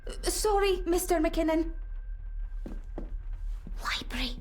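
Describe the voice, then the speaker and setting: A woman's voice: very high-pitched, expressive, slow pace, slight background noise, full character voice. A woman playing a timid young maidservant in a video game drama, hesitant and secretive. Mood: nervous, secretive. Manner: hesitant, secretive, breathy whispering.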